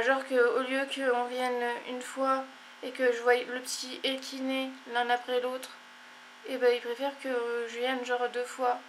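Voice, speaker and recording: Young female vlogger, congested and tired, meandering delivery, flat intonation. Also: a little high, a little hiss or hum. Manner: rambling, many fillers and apologies. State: stuffy, congested. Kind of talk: casual chat to camera.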